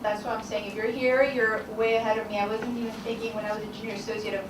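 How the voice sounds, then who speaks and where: A middle-aged woman from Guatemala tells her career story in an even, flat tone and an earnest manner. She sits far from the mic in an echoing hall, and clear background noise runs under her voice. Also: on the high side, fast talker. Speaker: lawyer, panelist. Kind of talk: personal story on a panel.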